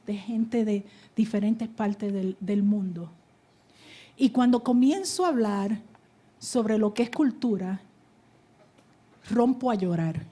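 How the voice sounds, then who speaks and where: A woman from New Jersey giving a preaching testimony at a conference, moving from loud exhortation to hushed whispers. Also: a little high. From United States (New Jersey). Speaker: conference speaker. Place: conference hall stage.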